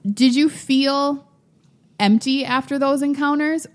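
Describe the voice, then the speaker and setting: A woman's voice: high voice, fairly even intonation, slow pace. A woman hosting a relationship podcast, asking probing questions in an easy conversational way. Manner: probing, conversational, laughs easily. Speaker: host. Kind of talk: casual interview.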